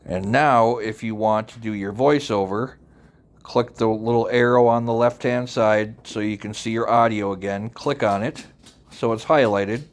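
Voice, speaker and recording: A man with a fairly low voice presents a software tutorial. He speaks informally and without a script, instructing one step at a time. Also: fairly even intonation, normal speed. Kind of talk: casual, unscripted software tutorial narration.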